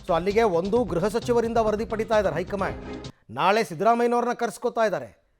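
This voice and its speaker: A man's voice: sits high, a little fast, soft background music. A man with a Karnataka Kannada accent anchoring live television news, mixing in English words.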